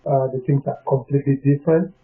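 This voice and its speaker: A man from Cameroon, telling his own story in a casual interview, halting and often checking in with the listener. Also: mid-range voice, steady tone. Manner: halting, leans on "you know what I mean". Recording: dull lo-fi sound with faint music.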